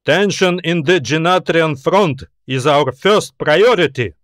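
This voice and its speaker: A fearsome admiral of a space empire, as a man narrating an audiobook puts him on. He is domineering and snide, issuing commands slowly and deliberately in a slightly high male voice. The sound is very dry. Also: a bit bright, no echo. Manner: commanding, snide, barking questions. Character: fearsome, irritable, domineering military admiral in science fiction.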